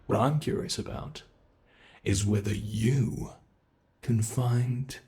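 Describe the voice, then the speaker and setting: A man voicing a sinister, playful monster in an ASMR horror roleplay, gravelly and sometimes breathy, taunting with theatrical, unhurried menace. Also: mid-range voice, dark tone. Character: sinister playful male monster hunting its captive.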